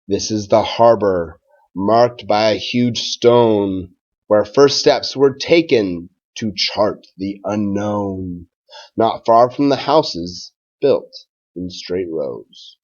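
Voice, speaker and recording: A man who is a schoolteacher doing a read-aloud for children and talking to pupils in a measured, simple way. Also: lowish voice, darkish tone, lo-fi sound.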